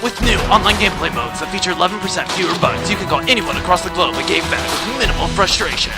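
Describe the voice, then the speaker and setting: A gravelly, bombastic male announcer for a parody game trailer, acted by a man. He delivers each phrase deliberately, with deadpan drama, over loud music. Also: sits high. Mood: hyped.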